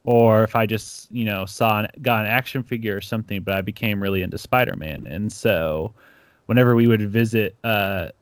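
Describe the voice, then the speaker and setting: A man's voice: lowish voice, normal speed, no room sound. A young man from Ecuador, a comic creator guest, telling stories casually and acting out quotes with lots of "like". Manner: animated storyteller, acts out quotes, many "like"s. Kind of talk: casual interview chat.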